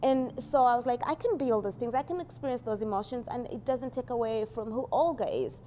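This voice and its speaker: A woman's voice: on the high side, fairly quick, dark tone, slight background noise. A woman born in Uganda, talking casually about her artwork in a rambling way, with many "you know" fillers and easy laughs. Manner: laughs easily. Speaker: artist presenting her work.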